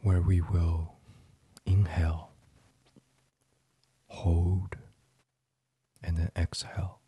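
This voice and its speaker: A man leading a sleep meditation in an ASMR style, gentle and soothing, breathy and often half-whispered. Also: low-pitched, flat delivery, extremely slow. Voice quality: breathy, hushed. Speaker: guided meditation host.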